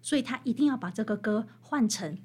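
A woman with a fairly high voice and a Taiwanese Mandarin accent speaks as a guest author. She explains in an expressive storytelling way, leaning on key phrases for emphasis. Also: fairly quick, husky. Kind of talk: expressive explanatory interview talk.

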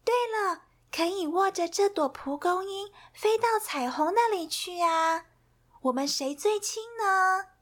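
A woman voicing a kind storybook friend who speaks gently and reassuringly. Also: extremely high pitch, lively intonation, a little slow, pitched above the performer's own voice, full character voice. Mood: bright.

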